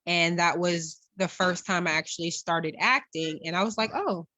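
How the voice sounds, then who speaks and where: Woman, bright forceful voice, lowish pitch, dry recording, casual interviewee storytelling. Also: normal speed, no echo. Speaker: actress being interviewed.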